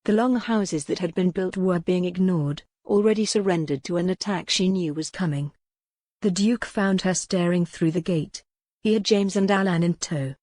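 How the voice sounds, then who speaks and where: A woman reading a historical romance audiobook in a measured, dramatic storytelling manner. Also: lowish voice, darkish tone, no room sound, studio quality. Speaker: audiobook narrator. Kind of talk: scripted fiction narration, historical romance.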